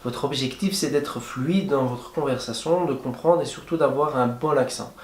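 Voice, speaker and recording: A man's voice: medium pitch, normal speed. A young man giving friendly, plain-spoken advice straight to his viewers in a casual travel vlog. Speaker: travel vlogger. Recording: echoey room.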